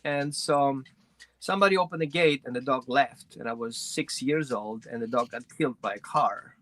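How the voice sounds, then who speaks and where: Bright-voiced man from Greece. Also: medium pitch, moderate pace, no room sound. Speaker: guest, dog trainer. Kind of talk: personal storytelling in an interview.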